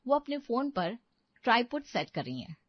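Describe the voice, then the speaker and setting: A woman's voice: fairly high pitch, sing-song, normal speed, no room sound, muffled recording. Female story narrator, very dry sound.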